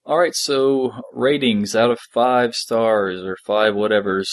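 A man's voice: medium pitch, fairly even intonation, takes it slowly, no echo. A man hosting a podcast, talking casually as a fan discussing a story. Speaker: podcast host.

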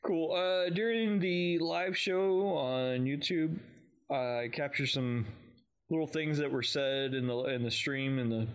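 A man's voice: on the high side, takes it slowly, clean tone. A man co-hosting a fan podcast in casual conversation.

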